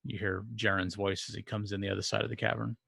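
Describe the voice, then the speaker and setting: A man's voice: on the low side, flat delivery, quick pace, vocal fry, pushed and bright, no echo. Casual male game master, dry close sound. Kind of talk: improvised narration of a tabletop game, casual.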